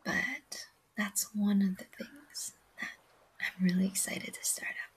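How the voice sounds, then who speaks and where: A woman whispering a casual, rambling ASMR-style audio log, softly confiding in her listeners with a breathy voice. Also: mid-range voice, measured pace, low-quality recording. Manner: soft, confiding, addressing listeners directly.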